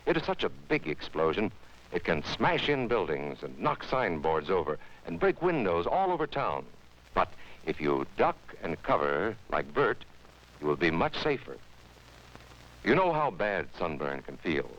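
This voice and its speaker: Man, American, deep voice, animated intonation, instructive and emphatic, 1950s educational film narration, muffled old film audio. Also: unhurried. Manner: instructive, emphatic, addressing children directly. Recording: dull vintage 1950s film soundtrack.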